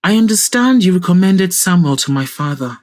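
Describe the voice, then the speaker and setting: A woman's voice: lowish voice, lively intonation, moderate pace, a little mellow, lightly acted. A woman plays a determined daughter in an audio drama. She is composed and polite, putting her questions in a cool, pointed way. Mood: controlled, polite.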